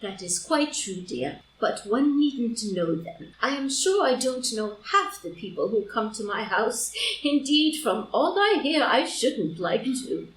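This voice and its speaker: An opinionated older society lady, played by a woman, genteel and chatty, with a fairly high, very varied voice. She hands out mild scoldings in a play reading. The recording is roomy and distant. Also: very animated, unhurried, few pauses, a little hiss or hum. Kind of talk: group play reading for audio drama. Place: live in-person group reading in a room.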